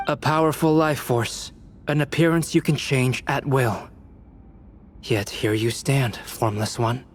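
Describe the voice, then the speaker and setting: An actor playing a composed young alchemist knight in a video game, speaking in a measured, formal way. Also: male voice, mid-range voice, slight background noise, natural voice. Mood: coolly challenging.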